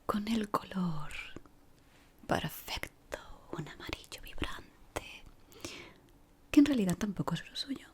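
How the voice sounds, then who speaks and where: A woman whispers slowly and deliberately. She plays a polite, exacting decorator in an ASMR roleplay, with a breathy delivery and careful pauses. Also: medium pitch, very animated. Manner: slow, deliberate, pausing, softly spoken. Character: polite but exacting decorator of an eerie office maze.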